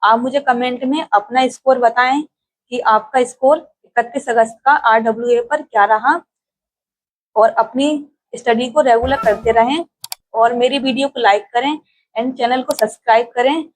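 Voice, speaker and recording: A woman's voice: fairly high pitch, steady tone, normal speed, poor audio. A young woman from India talking casually to her viewers in a vlog, speaking steadily with frequent hesitations. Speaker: exam-prep YouTuber.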